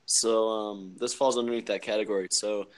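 A man's voice: lowish voice, steady tone, moderate pace. Rambling young man on a phone call. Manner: rambling, lots of fillers, laughs easily. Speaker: podcast co-host. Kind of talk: casual phone chat. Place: home, at night. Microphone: phone on speaker.

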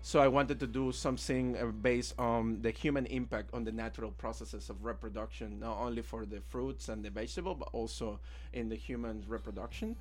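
Man, thirties, Venezuelan accent, native Spanish speaker, mid-pitched voice, casual interview guest. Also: brisk, hardly any pauses. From Caracas, Venezuela. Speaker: interview guest, illustrator and art teacher. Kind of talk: casual interview, telling own life story.

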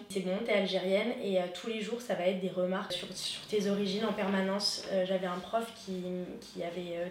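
A woman from Algeria giving a candid, hesitant testimony about her studies, pausing often. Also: medium pitch. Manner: hesitant, many pauses and "euh". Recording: roomy echo, faint background noise.

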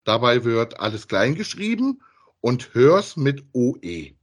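A man's voice: medium pitch, big pitch swings, a little slow, breathy edge. A man, chatting casually as a podcast co-host about audio dramas.

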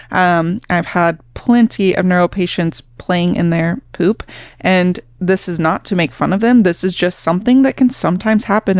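A woman's voice: on the low side, a little mellow, clean tone, dry recording, clean studio sound. A woman hosting a podcast in a casual, frank, conversational way, sometimes swearing as a nurse talking shop.

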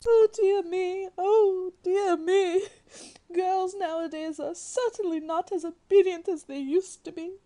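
A fretful middle-aged matchmaking mother, played by a woman in a radio play. Her voice is very high but soft-edged and mellow. She is imperious, theatrical and full of self-pity. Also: steady tone, unhurried. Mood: wailing, self-pitying. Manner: imperious, wailing, self-pitying. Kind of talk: theatrical radio drama acting.

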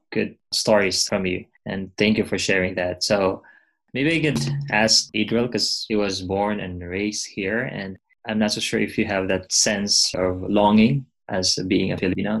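A man's voice: on the low side, steady tone, normal speed, vocal fry, a bit bright, poor audio. A man moderating a panel discussion, polite and a bit hesitant as he thanks guests and asks follow-up questions.

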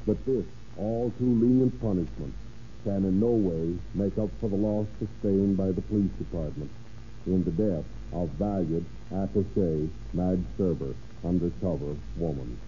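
Male police official, low voice, formal scripted delivery, 1930s radio, muffled recording. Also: normal speed, dark tone. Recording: muffled, noisy old radio recording with hum.

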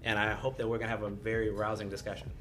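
A man's voice: lowish voice, flat delivery, rapid-fire, fairly bright tone, slight background noise. A man hosting a podcast interview, friendly and encouraging, often giving short acknowledgements as his guest talks. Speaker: podcast host, counselor. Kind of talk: conversational interview.

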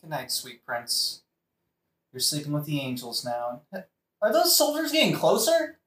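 A man as a high-voiced, wry loyal friend. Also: wildly swooping pitch, a little slow, a bit bright, heavily acted. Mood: tender. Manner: slangy, wry. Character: loyal, dry-witted friend of a young prince. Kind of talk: modern-language comedic audio play acting. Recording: echoey room, distant mic, faint noise.

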